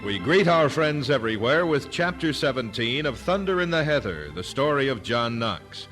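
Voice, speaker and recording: A man's voice: medium pitch, very animated, normal speed, music in the background. A man narrating and announcing a radio drama series in a scripted style.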